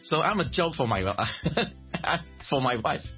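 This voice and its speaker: Animated man from Malaysia, dull audio. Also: fairly high pitch, slow pace, faint music behind. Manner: animated, laughs often, restarts and repeats words. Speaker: guest, creative director and songwriter. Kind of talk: casual interview chat.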